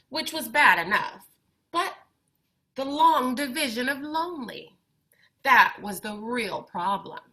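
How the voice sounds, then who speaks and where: Female storyteller for children's read-aloud, unhurried and bright, expressive delivery. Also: fairly high pitch. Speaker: storyteller reading a picture book aloud.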